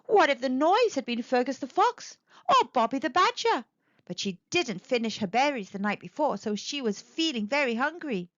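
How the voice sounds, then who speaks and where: A woman storyteller reading a children's picture book aloud with expressive narration. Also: a little high, no room sound, lo-fi sound.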